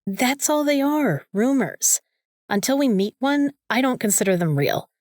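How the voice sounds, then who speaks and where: A woman's voice: fairly high pitch, animated, a little slow, a little mellow, no echo. Woman playing a snarky bossy college girl in an audio drama, super opinionated, very dry recording. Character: bossy, sarcastic young female student, vampire slayer's sidekick.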